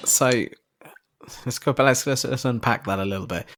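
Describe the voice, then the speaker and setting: A man's voice: mid-range voice, normal speed, noisy background. A man hosting a podcast interview, asking questions and repeating words as he gathers his thoughts. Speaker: podcast host.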